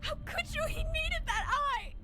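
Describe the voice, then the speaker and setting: A woman voicing a small, defiant skeleton boy, shrill and emotional, in a dramatic comic dub. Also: animated, measured pace, very noisy. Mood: tearful outrage. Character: small, defiant skeleton child, protective younger brother. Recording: loud music under the voice.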